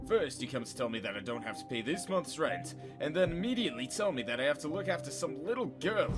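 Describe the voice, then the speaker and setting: An exasperated, sarcastic man played by a male actor scolds his way through an audio drama. His pitch sits fairly high and moves a lot, over a music bed. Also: big pitch swings, quick pace. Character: exasperated, sarcastic reluctant male ally of a fugitive.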